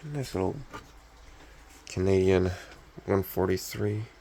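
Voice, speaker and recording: Low, scratchy-voiced young man chatting. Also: slow pace, dark tone. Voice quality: scratchy, gravelly. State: recovering from illness, scratchy voice. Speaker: hobbyist collector showing restored radio. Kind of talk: casual show-and-tell chat.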